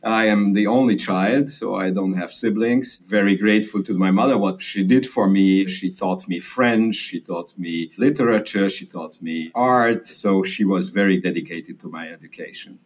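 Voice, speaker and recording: An older man from Romania whose first language is Hungarian, telling his life story in an interview in a thoughtful, unhurried way. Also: low-pitched. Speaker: guest, emeritus radiology professor. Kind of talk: interview conversation.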